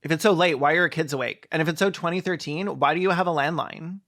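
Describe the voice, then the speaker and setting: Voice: medium pitch, fast, a bit bright. A video commentator doing mocking, profane, campy comedic commentary on a film.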